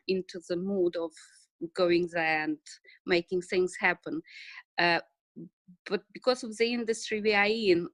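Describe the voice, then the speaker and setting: A middle-aged woman with an Eastern European accent, answering interview questions casually in short, halting phrases. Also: fairly low pitch, a bit bright, no room sound. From Ukraine and Russia. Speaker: interview guest, financial services business owner.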